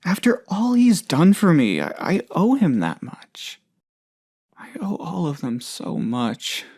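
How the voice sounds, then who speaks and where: A man playing an earnest, self-doubting but loyal boss in a video game, talking in a halting, heartfelt, rambling way. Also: fairly high pitch, huge pitch swings, vocal fry, darkish tone, breathy edge, exaggerated voice. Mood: anguished, determined. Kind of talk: expressive game dialogue, conversational monologue.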